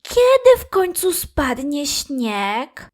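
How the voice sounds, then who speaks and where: Impatient, hopeful small girl for a children's audio tale, played by a woman; high, pleading, excitable. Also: very slow, heavily acted. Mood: impatient longing. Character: impatient, eager preschool girl owl, full of hope.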